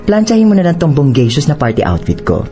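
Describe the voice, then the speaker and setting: A spoiled young radio-drama mean girl, swooping dramatically through her pitch. She gives haughty, slangy Taglish commands and taunts, with music underneath. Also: big pitch swings, quick pace, mellow. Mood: bossy, taunting. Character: spoiled, mocking young woman bossing her housemaid cousin.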